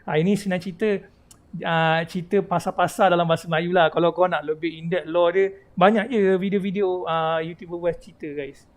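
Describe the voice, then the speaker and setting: A man's voice: high voice, level tone, fast talker. A man with a Malaysian accent giving casual, chatty live gaming commentary as a streamer, explaining things in slangy speech. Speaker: game livestreamer.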